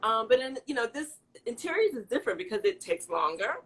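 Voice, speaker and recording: A woman's voice: fairly high pitch, big pitch swings, fairly quick, a bit bright, breathy edge. A middle-aged woman from the American Midwest, chatting casually as an interview guest, laughing often and restarting her sentences.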